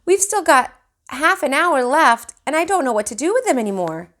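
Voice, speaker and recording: A harried storybook mother, voiced by a woman reader, brisk and coaxing with small children. Her pitch lifts and swings as she urges them along. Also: very animated, a bit bright. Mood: pleading.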